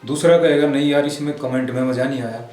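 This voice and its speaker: In an echoey room with music underneath, a middle-aged man tells stories casually. His pitch is mid-range, and he speaks in a halting, colloquial way. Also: steady tone, darkish tone. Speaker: interview guest, cartoonist. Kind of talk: casual anecdotal interview talk. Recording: roomy echo, music underneath.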